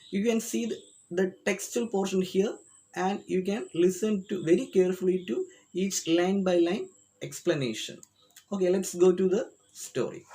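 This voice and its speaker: A woman's voice: lowish voice, mellow, poor audio. A woman with an Indian accent teaching a children's story line by line, rephrasing it in simple words as she explains.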